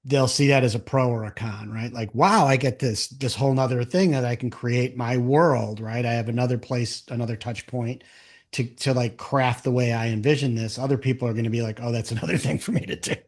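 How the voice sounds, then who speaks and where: Casual male interviewer, medium pitch. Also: fairly even intonation, fairly quick. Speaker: interview host.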